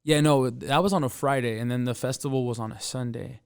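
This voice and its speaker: A man's voice: mid-range voice, steady tone, brisk, gravelly, smooth, no room sound, studio quality. A young man from the United States chatting casually as an interview guest, laid-back and slangy, dropping "bro" into his sentences.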